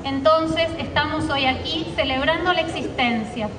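Argentine woman giving an outdoor speech, high voice. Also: background noise. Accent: Argentine Spanish. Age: early 40s. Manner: measured, reflective, read from notes. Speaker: alumni speaker. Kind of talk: formal commemorative speech read aloud. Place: outdoor school courtyard ceremony. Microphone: podium microphone filmed from a distant camera in the crowd. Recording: far-off echoey outdoor PA with crowd.

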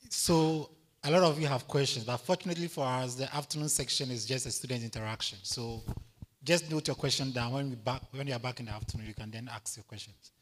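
A man chairing a session, wrapping up hurriedly. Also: mid-range voice. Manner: quick, hurried wrap-up. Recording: distant mic with faint noise.

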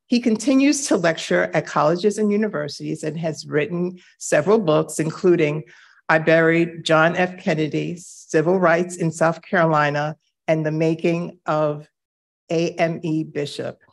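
A middle-aged woman moderating a reading circle, speaking slowly and measuredly with self-deprecating asides, filmed from afar in a bright gallery room. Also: sits low, gravelly. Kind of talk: live event introduction and moderated conversation. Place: bright wooden-floored gallery room, indoors.

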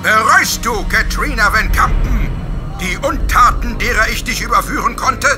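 A man's voice: high-pitched, moderate pace, lightly acted. A man playing a ruthless, self-righteous witch hunter in a horror radio play, declaiming pompously. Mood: solemn, stern. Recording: loud dramatic music behind the voice.

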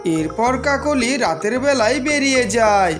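A woman with a fairly high voice narrates a children's animated tale. Her delivery is scripted storytelling, with music underneath. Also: unhurried.

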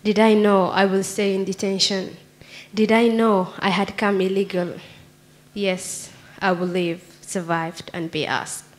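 A young woman from Somalia reads her own poetry aloud, her English shaped by her native Somali. She speaks in an earnest, measured way into a handheld microphone on a small theatre stage. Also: medium pitch, flat delivery, slight background noise. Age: young adult. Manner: earnest, measured, reading from paper. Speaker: poet. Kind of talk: spoken word poetry reading. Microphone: handheld mic.

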